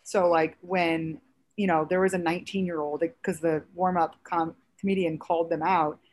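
Comedian guest, woman about thirty, raspy and a touch low, loose animated chat. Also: normal speed, a little hiss or hum. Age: around 30. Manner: chatty, animated storytelling with many fillers. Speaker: stand-up comedian, podcast guest. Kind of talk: casual podcast chat.

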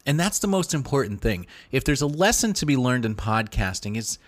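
A man's voice: medium pitch, sing-song, a little fast, no room sound. A man reviewing a podcast in casual commentary, enthusiastic and often repeating and restarting words.

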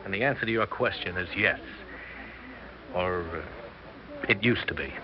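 An actor playing a kindly, affable younger fellow actor in a 1960s radio drama. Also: male voice, deep voice, normal speed, a crowd in the background, natural voice. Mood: discreet, regretful. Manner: affable, polite. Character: genial, kindly younger male actor friend. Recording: muffled radio recording with loud noise.

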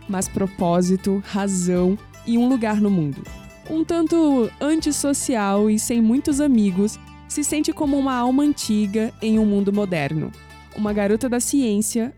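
Slow wry young woman narrator. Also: on the high side, warm tone, in a large hall. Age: young adult. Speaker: narrator and protagonist. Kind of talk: scripted audio drama narration.

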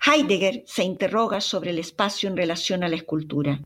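A young Chilean woman with a Chilean accent and a breathy voice, dramatically telling a personal travel story as a podcast narrator. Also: lowish voice, brisk. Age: young adult. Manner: expressive, dramatic retelling with sighs and exclamations.

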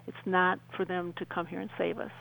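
A middle-aged woman explaining earnestly as a radio interview guest, halting and repeating phrases for emphasis. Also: on the low side, flat delivery, vocal fry, a bit bright, slight background noise, poor audio.

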